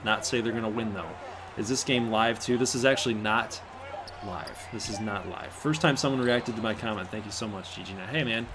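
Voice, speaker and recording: A man from Michigan with a Michigan accent and a somewhat nasal voice, hosting a live stream of a simulated basketball game. He chats with viewers and jokes around, and gets excited at big plays. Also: lowish voice, a little fast, noisy background. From Michigan, USA. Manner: chatty and joking, talks to the live chat, bursts of excitement at big plays. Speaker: stream host and game commentator.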